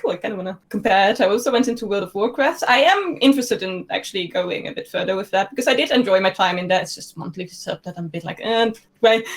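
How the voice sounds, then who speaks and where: A woman chatting casually as a guest on a gaming podcast, in a relaxed way with many fillers. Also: mid-range voice.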